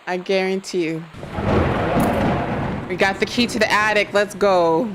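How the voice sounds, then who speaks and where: A woman streaming a game live, expressive and reacting out loud, with some swearing. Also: mid-range voice, a little slow, heavy background noise. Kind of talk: live gameplay commentary.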